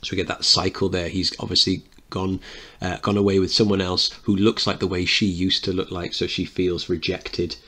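A man's voice: low-pitched, flat delivery, moderate pace, bright, forceful tone. A man teaching a recorded literature lesson, explaining a play and posing questions to students. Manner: explanatory, checks understanding with questions.

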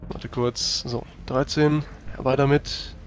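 A man's voice: medium pitch, little pitch movement, slow. A man chatting casually as a player in a tabletop roleplaying game, working through rules and dice. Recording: music playing behind the voice.

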